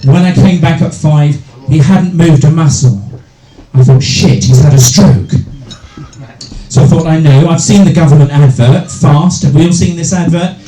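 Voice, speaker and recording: Deep-voiced man doing stand-up crowd work. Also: normal speed. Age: middle-aged. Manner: banters with hecklers, swears freely. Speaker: stand-up comedian and compere. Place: small live venue with a crowd. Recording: echoey room with loud crowd.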